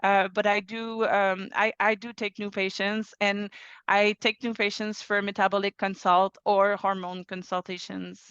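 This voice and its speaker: A woman's voice: mid-range voice, level tone, measured pace, a bit bright, smooth, dry recording. Woman, physician, Québécois French accent, native French speaker, earnest, restarts often, dry lo-fi recording. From Quebec, Canada. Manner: earnest, many restarts, laughs easily. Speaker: family physician guest. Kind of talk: interview answers.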